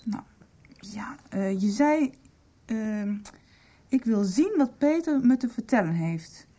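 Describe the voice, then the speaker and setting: A supportive young woman in a radio soap. Her voice is mellow and a little high, with a husky edge. She explains haltingly, as if sharing a confidence. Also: animated. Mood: halting, explaining. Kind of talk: Dutch radio soap acting.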